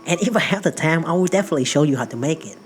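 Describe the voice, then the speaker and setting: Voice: medium pitch, lively intonation, racing, faint music behind. A narrator from Perth, a native Vietnamese speaker who works in healthcare, telling a funny personal story in a playful, self-mocking way. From Perth, Australia. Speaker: healthcare worker.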